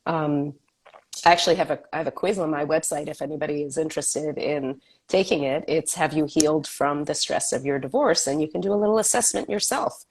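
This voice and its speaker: A woman's voice: sits low, fairly quick, slightly rough. A woman who is a health coach, a guest on an interview show, talking in an upbeat way while sniffling from a cold. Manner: upbeat, promotional. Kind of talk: casual interview chat.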